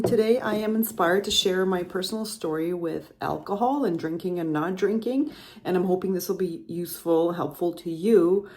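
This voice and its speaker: A woman with a somewhat low-pitched voice tells her own story as a podcast host. She talks in a loose, chatty way and often stops to correct herself. She grew up in Russia. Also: darkish tone. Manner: chatty, rambling, frequent self-corrections. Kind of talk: personal storytelling monologue.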